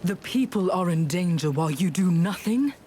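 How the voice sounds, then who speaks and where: A woman's voice: fairly low pitch, animated, moderate pace, mellow, a little hiss or hum, close to an everyday voice. A woman playing a blunt, defiant warrior heroine in a video game, terse and confrontational. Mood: urgent, challenging.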